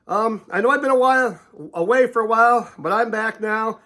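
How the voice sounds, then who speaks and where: A man's voice: very high voice, a little fast, sharp tone. An older man hosting a casual paintball preview, sounding a bit nasal from a head cold and rambling with fillers and restarts. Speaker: host. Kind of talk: casual sports preview talk.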